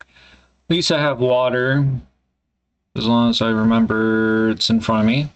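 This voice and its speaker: A man in a candid solo vlog, thinking aloud in a halting, hesitant way and swearing casually. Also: mid-range voice, steady tone, clear, resonant tone. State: congested. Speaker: vlogger.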